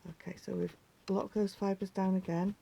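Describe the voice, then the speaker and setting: A woman with a slightly croaky voice, patiently talking viewers through a craft step by step and often dropping to a near whisper. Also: mid-range voice, little pitch movement, normal speed, warm tone, slight background noise. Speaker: needle felting teacher. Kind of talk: hands-on craft tutorial.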